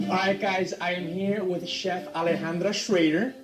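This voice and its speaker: A man's voice: high voice, fairly even intonation, moderate pace. A man hosting a TV show, delivering his intro in an upbeat, scripted way. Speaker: host. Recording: echoey room with music behind.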